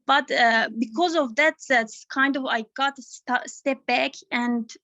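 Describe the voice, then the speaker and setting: A woman's voice: high voice, unhurried, pushed and bright, no echo. A woman from Turkey with a Turkish accent, a non-native English speaker asking a question hesitantly and searching for words on a video call. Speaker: webinar participant. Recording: dry muffled call audio.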